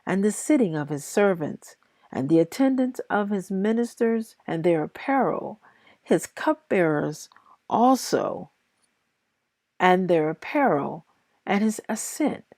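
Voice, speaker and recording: A woman who sounds a little tired, reading scripture aloud in measured, deliberate short phrases as the host of a daily reading. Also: medium pitch, animated, darkish tone, dry recording. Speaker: Bible reader and host of a daily reading series. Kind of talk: scripture read aloud with brief casual introduction.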